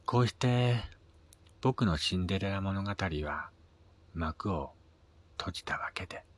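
A man with a husky voice narrating a scripted first-person radio drama, his phrase endings trailing into a breathy near-whisper. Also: deep voice, expressive, slow. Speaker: reader of own short story.

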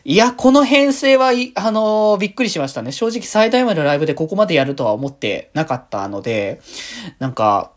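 A woman's voice: fairly low pitch, sing-song, a little fast. A woman hosting a solo podcast in a casual, rambling way, as if thinking aloud. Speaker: host of a solo fan podcast.

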